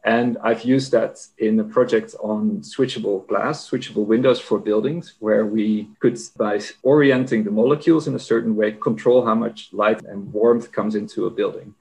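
A man with a Dutch accent speaks in a fairly low voice. He explains technical ideas in a relaxed, thoughtful way, dotted with fillers, like a guest in a casual interview. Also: moderate pace, gravelly, few pauses, poor audio. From Netherlands. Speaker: guest, managing director.

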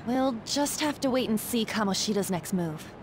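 A woman voicing a fierce, taunting masked teenage girl in a video game, over loud game music. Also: on the high side, animated, normal speed, a little hiss or hum, close to an everyday voice. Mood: calm. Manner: cold, taunting, then furious. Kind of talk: video game cutscene voice acting.

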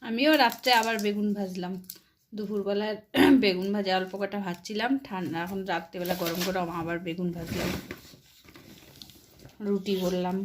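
A middle-aged woman, chatting and gently chiding like a mother on a family phone call. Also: mid-range voice, fairly even intonation, normal speed, a little hiss or hum. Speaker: mother on a phone call with her grown son. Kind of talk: casual family phone chat.

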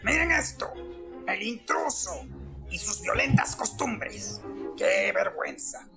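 Cartoon forest guardian, played by an actor: small, indignant, self-important, Latin American accent, slow and highly animated delivery. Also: vocal fry, over music, full character voice. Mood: indignant, threatening. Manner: indignant, pompous, declamatory. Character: small grumpy, pompous furry forest guardian with big moustache. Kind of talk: animated film fan dub acting.

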